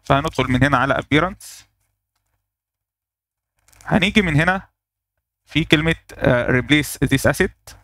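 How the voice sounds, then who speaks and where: A man with an Egyptian Arabic accent walking through a software tutorial step by step, pausing on fillers as he works. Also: fairly high pitch, no echo. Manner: explains step by step, thinking aloud with fillers. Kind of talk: screen-recorded software tutorial.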